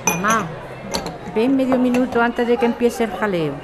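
With a crowd clearly audible behind, a woman plays a grown daughter in a radio serial. She has a Spanish accent from Spain and a fairly high voice, and she speaks with protective, questioning care. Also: normal speed, very noisy, lightly acted. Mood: worried. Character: caring, doubtful grown daughter who runs a bar.

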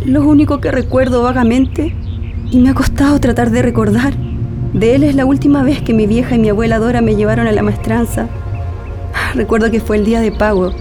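Actress doing a grieving chilean daughter in a radio play, kinda high voice, wistful and halting, music playing under her. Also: steady tone, close to an everyday voice. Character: grieving daughter Mónica, earnest, wistful, pleading adult woman. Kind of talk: radio drama acting.

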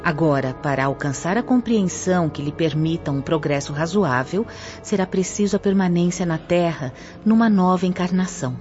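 Earnest, measured female spirit guide, played by an actress. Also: lowish voice, lively intonation, darkish tone, natural voice. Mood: earnest, explanatory. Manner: measured, didactic, formal. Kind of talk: radio drama acting. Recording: dull lo-fi audio under clear music.

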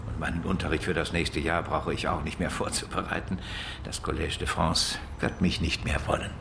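An actor playing an evasive, resigned professor under suspicion in a German radio crime drama, with a hesitant, halting delivery. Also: male voice, extremely low pitch, little pitch movement, gravelly. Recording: roomy echo with faint noise.

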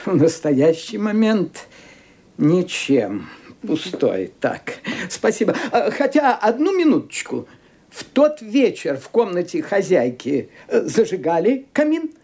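An actor playing a dry, cautious old lawyer in an audio drama, with a measured, formal and hesitant manner. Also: male voice, high-pitched, wildly swooping pitch, a little mellow, breathy edge, heavily acted.

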